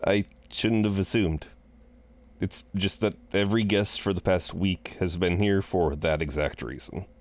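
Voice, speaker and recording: Male actor as incubus innkeeper, low voice, unhurried, chatty, flirtatious, welcoming, roleplay audio drama. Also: steady tone. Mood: apologetic, eager. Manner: rambling, hesitant asides, chuckling, flirtatious. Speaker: innkeeper at a front desk.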